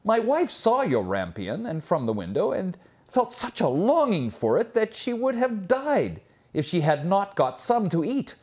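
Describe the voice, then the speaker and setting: Storybook husband, acted by a male reader: frightened, earnest pleading, very animated pitch. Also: unhurried, exaggerated voice. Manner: earnest, pleading, archaic phrasing.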